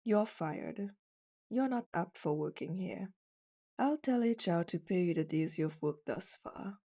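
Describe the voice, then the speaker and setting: A woman acts out a commanding female chief executive for an ASMR roleplay. She speaks slowly and deliberately in a soft, mellow tone. Her manner is cold and condescending, with a dominant, flirtatious edge. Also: on the low side, animated. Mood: cold, contemptuous.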